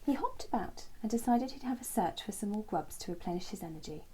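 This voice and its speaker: Mellow, breathy woman reading bedtime story. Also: mid-range voice, animated, quick pace. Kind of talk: gentle bedtime story narration, scripted.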